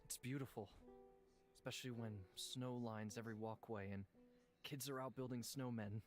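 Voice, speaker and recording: A man playing a calm, gentle, centuries-old blind shapeshifter in a visual novel, speaking quietly and with measure. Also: mid-range voice, moderate pace, lightly acted. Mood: calm, wistful. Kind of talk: visual novel character acting. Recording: music behind the voice.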